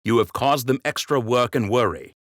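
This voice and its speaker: A man voicing a wise, dignified rabbit king who speaks in a measured, warmly stern way in an audiobook. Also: mid-range voice, no echo, clean studio sound, pitched above the performer's own voice, lightly acted. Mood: gently stern.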